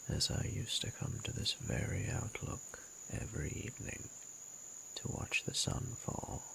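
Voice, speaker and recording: Guy doing an ancient dragon lord for romance roleplay, really low n gravelly, breathy, courtly teasing, faint crickets behind. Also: sharp tone, slight background noise, heavily acted, poor audio. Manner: formal, archaic, teasing and courtly. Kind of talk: romantic audio roleplay, intimate slow monologue.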